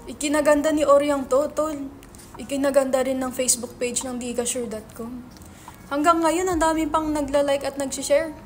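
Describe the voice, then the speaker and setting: A woman playing an excitable, theatrical young male writer who likes to tease, in a dramatic reading of a stage play. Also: high-pitched, moderate pace, slight background noise, close to an everyday voice. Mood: excited, teasing. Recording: background music under the voice.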